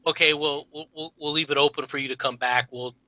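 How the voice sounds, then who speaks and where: Sharp-toned critical man. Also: fairly high pitch, fairly even intonation, fairly quick, dull audio. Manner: critical, lays out arguments at length. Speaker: stream co-host. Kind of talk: casual opinionated banter.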